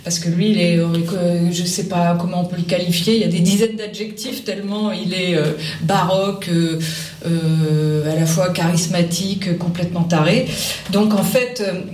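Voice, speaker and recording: A woman's voice: lowish voice, moderate pace, a little mellow. A woman author answering interview questions, speaking hesitantly with many fillers. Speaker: author guest. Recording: roomy and distant with faint noise.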